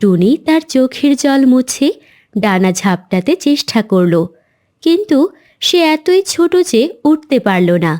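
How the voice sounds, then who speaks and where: A woman's voice: sits high, animated, measured pace, a little mellow. A woman narrating a cartoon fairy tale in a scripted storytelling style.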